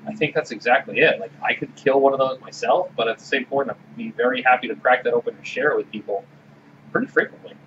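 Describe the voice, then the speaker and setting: A man guides a relaxed beer tasting and speaks in a measured, steady way. His voice sits at a middle pitch. The sound is a little dull, with a faint hiss underneath. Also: recorded from a distance. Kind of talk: casual beer-tasting chat. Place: small room with orange walls.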